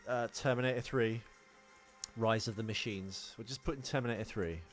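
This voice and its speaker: A man's voice: on the low side, normal speed, faint music behind. A man co-hosting a podcast in casual, joking chat, with a rambling, self-deprecating manner. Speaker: podcast co-host.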